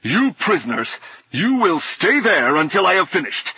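A man's voice: medium pitch, expressive, a little slow, sharp tone, slightly rough, no room sound, muffled recording, pitched above the performer's own voice, full character voice. A man voicing a stern, pompous, menacing knight in an audiobook, imperious and declamatory with archaic phrasing. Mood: furious. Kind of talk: audiobook character acting.

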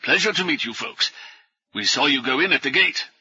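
Man doing a puffing old professor, fussy, husky bright tone, flat dry audio. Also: fairly high pitch, a little fast, pitched above the performer's own voice, heavily acted. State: out of breath. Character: older bearded professor, fussy and winded. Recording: dull, dry lo-fi audiobook recording.

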